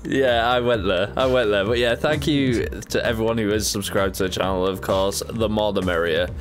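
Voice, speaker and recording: A man's voice: fairly low pitch, normal speed. A man in his thirties hosting a casual gameplay stream, joking and laughing often while he has a cold. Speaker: gaming channel host.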